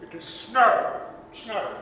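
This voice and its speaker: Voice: very slow, sharp tone. A performer playing a crotchety, nervous old male miser who stammers and gets flustered, in a theatrical 1930s radio drama style. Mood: moved wonder. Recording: very echoey hall livestream with hiss.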